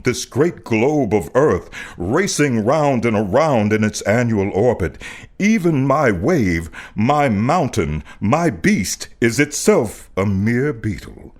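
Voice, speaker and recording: An American man reciting a poem as a voice artist, with deliberate, expressive and theatrical phrasing. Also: lowish voice. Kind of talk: dramatic poetry recitation.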